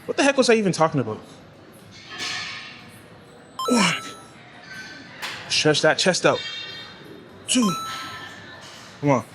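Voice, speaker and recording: A young man with a Toronto accent talks at a slightly high pitch, relaxed and slangy as he coaches through his sets. He is recorded on a clamped camera mic in an indoor gym, with faint music playing behind him. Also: measured pace. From Toronto, Canada. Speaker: fitness vlogger. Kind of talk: casual gym vlog with exercise tips. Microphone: clamped camera mic, no tripod.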